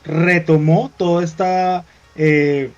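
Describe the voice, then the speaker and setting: A man's voice: a little high, fairly even intonation, darkish tone. Colombian man explaining, lo-fi sound. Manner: explanatory, drawn-out with hesitations. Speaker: co-host. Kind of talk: casual panel chat. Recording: dull lo-fi sound.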